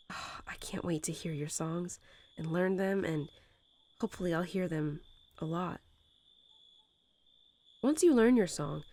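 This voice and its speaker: A woman voicing a gentle, nurturing mother wolf who talks softly and unhurriedly to her newborn pups in a bedtime roleplay audio. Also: lowish voice. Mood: tender, encouraging. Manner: tender, unhurried, lulling storytelling.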